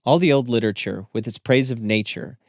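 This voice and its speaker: A man reading scripted literary narration as an audiobook narrator, with measured, deliberate phrasing. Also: mid-range voice, no room sound, studio quality.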